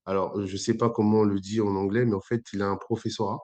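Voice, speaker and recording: A man's voice: fairly low pitch, little pitch movement, fast talker, a little mellow. A man in his forties from Congo-Brazzaville, speaking as an interview guest in a hesitant, conversational way full of fillers and restarts. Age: 40s. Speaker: entrepreneur guest.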